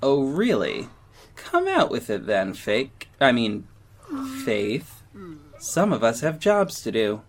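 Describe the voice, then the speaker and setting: A man's voice: medium pitch, wildly swooping pitch, takes it slowly. A man playing a sarcastic, petty young company head who narrates an audio drama in a wry, dramatic way. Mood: petty, assertive. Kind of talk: first-person audio drama narration and dialogue.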